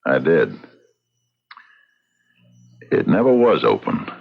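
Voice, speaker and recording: Deep-voiced laconic frontier sheriff. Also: male voice, huge pitch swings, gravelly, husky, exaggerated voice. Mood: cryptic, grave. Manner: terse, cryptic, deliberate. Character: laconic, knowing, steady frontier lawman sleuth. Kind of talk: radio drama acting. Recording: slightly dull radio drama recording.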